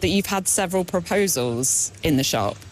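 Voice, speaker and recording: A woman producer reporting on location and interviewing people in a chatty, lively way. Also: on the low side, normal speed.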